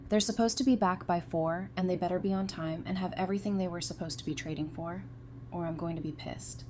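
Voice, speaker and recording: A woman's voice: on the low side, a little fast, background noise, lightly acted. A woman playing a frank, devoted survivor on a community council in an audio drama. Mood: determined.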